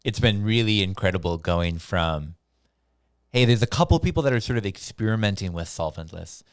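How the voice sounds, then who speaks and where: A man's voice: fairly low pitch, expressive, normal speed, clear, resonant tone, dry recording, studio quality. A man hosting a podcast, chatting easily and affirming his guest often. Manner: friendly, affirming, lots of backchannel. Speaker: podcast host. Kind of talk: casual podcast chat.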